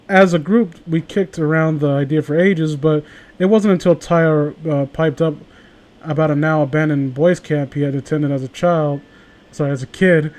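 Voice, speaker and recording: A man's voice: a little high, a little mellow. A man chatting casually among friends and reading stories aloud, stumbling over words as he reads. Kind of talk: casual group banter and amateur story reading.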